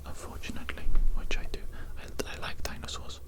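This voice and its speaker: An ASMR performer whispering a roleplay as an evil doctor running odd experiments, coaxing the listener and repeating phrases. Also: fairly quick.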